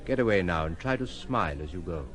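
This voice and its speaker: An actor playing a reserved, wry and chivalrous gentleman in a radio drama. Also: sits low, expressive, quick pace, crowd noise. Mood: hushed, resolute.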